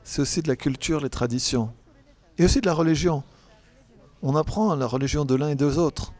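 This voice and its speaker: A man interpreting a panel speaker simultaneously, talking in halting phrases with frequent restarts and self-corrections. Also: on the high side, a little mellow. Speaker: conference interpreter.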